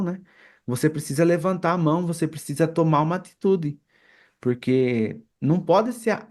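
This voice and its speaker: Co-host: Brazilian male, moderately high register, informal commentary, frequent tag questions. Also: a little mellow. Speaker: podcast co-host. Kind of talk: casual commentary.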